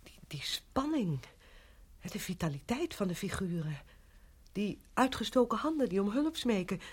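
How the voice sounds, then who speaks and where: An actress in a radio play voicing a sociable, curious woman visitor who speaks in an expressive, exclaiming way. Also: medium pitch, normal speed, husky. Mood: admiring.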